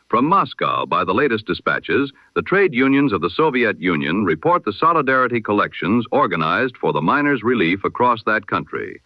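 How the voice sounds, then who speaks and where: Lively formal man reading radio news. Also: fairly low pitch, no echo, lo-fi sound. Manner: measured, formal newsreader delivery. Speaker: news reader. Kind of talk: scripted 1920s radio bulletin narration.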